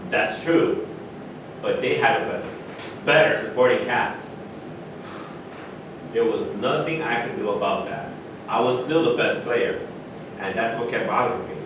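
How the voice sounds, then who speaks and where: A man's voice: unhurried, pauses often, natural voice. A man playing a proud, frustrated former basketball star in a staged reading of a play. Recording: very echoey hall, distant mic, rumbling noise.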